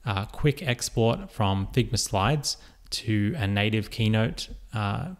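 A man narrating a screen-recorded software tutorial, giving relaxed step-by-step instructions with frequent "uh" fillers. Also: lowish voice.

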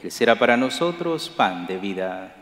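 A middle-aged priest celebrating Mass at the altar, reciting liturgical prayers in a measured, solemn way. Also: male voice, fairly low pitch, big pitch swings, a bit bright. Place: large church sanctuary, at the altar. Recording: echoey church with long reverb.

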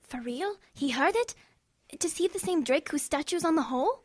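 Excitable high-pitched boy. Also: moderate pace, background noise. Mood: wondering. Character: excitable, curious, adventurous young English boy. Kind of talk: radio drama acting.

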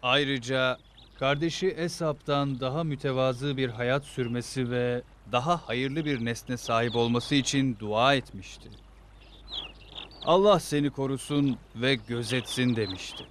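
An earnest, devout village man in a religious cartoon acted by a man. His voice has a medium pitch. He talks in a concerned, sincere manner. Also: unhurried, fairly bright tone, a little hiss or hum, close to an everyday voice. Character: worried, devout male villager.

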